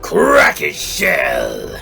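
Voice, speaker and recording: A man voicing brutish, menacing mutant henchmen in a cartoon fan drama, gravelly and gloating. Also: rumbling low, very animated, very slow, bright, forceful tone, faint music behind, pitched below the performer's own voice, heavily acted. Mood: barking orders.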